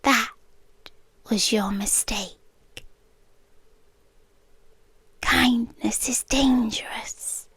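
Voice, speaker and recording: A British woman playing a possessive monster queen in an intimate ASMR roleplay, speaking in a hushed, deliberate, regal way and often whispering. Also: a little high, breathy edge, no echo, exaggerated voice. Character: possessive monster queen, obsessive captor, softly menacing.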